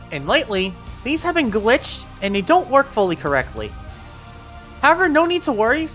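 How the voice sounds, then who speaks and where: A woman narrates a video game guide with a lively, swinging pitch. She talks the player through each move in clear instructional steps, adding cautions as she goes. Music plays behind her voice. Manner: addresses the player with step-by-step cautions. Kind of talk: voiced walkthrough commentary, instructional.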